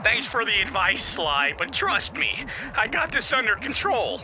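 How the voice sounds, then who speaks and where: A man's voice: high-pitched, sing-song, unhurried, full character voice, poor audio. A man playing a cheerful, overconfident animal sidekick in a cartoon video game, chatty, naive and boastful. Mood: cocky, chatty. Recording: music behind the voice.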